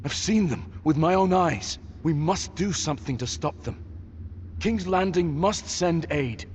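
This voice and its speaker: An actor playing an earnest, grave and blunt young-realm noble in a fantasy game. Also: male voice, a little high, unhurried, a little mellow, slight background noise, close to an everyday voice. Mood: urgent, earnest. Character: earnest, blunt northern male noble of the Night's Watch. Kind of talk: dramatic fantasy game acting.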